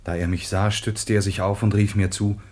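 An actor playing a dutiful, troubled grown son in a classical radio play, speaking with solemn, measured reverence. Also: male voice, on the low side, flat delivery, a little mellow, lightly acted. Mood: sorrowful reporting.

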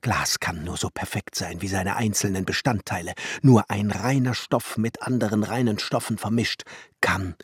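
An actor playing a pensive, exacting alchemist and master glassmaker in a literary radio play, speaking in a measured, musing way. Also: male voice, on the low side, no room sound, clean studio sound. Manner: measured, musing, at times hushed and breathy. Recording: music behind the voice. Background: music.

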